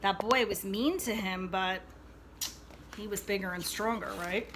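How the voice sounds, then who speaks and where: A woman reading a picture book aloud to children like a teacher, expressively, pausing to explain and check in with her young listeners. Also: medium pitch, fairly bright tone, slight background noise, low-quality recording. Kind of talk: read-aloud storytelling with asides to young listeners.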